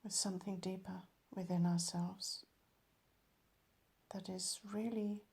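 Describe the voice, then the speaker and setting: A woman leading a guided meditation as a teacher, calm and measured, letting her phrases end softly. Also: medium pitch, creaky.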